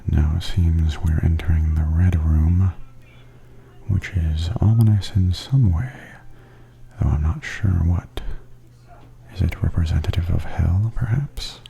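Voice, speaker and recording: A man giving softly spoken ASMR tour commentary in a hushed, breathy half-whisper. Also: low-pitched, a little slow. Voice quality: soft, breathy. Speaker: host of ASMR walking tour videos.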